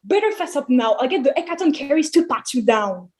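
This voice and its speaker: A woman's voice: high-pitched, expressive, brisk. A woman playing a grumpy, sarcastic male god who runs a camp, in a theatrical musical table read, blustering and dismissive.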